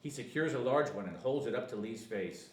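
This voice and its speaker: A man's voice: mid-range voice, animated, fairly quick, a little mellow, distant mic. A man reading stage directions at a comedy screenplay table read, sometimes stumbling over words. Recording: echoey room with faint noise.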